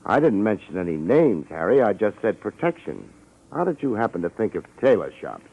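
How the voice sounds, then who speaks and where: An actor playing a cool, wisecracking hardboiled private detective, wry and laconic, in a 1950s radio drama. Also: male voice, on the low side, big pitch swings, fairly quick, vocal fry, husky, heavily acted. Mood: cool needling. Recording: muffled old radio recording.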